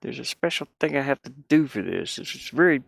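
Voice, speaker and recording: A man thinking aloud in a casual, halting mutter as he works on a game at his computer, talking mostly to himself. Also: medium pitch, expressive, gravelly, a bit bright, breathy edge, dry recording, clean studio sound. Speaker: hobby game developer. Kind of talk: casual think-aloud screen-recording commentary.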